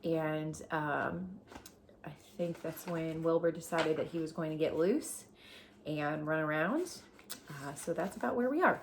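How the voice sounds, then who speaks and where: A woman reading a children's storybook aloud as a teacher, deliberate and explanatory as she addresses young listeners. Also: low voice, slight background noise.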